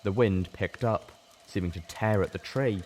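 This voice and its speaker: A man with a low voice narrates fantasy fiction with theatrical intensity. He reads in a storytelling style, over clear background noise. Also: normal speed, a little mellow. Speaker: reader of a fan fiction story. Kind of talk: dramatic audiobook narration.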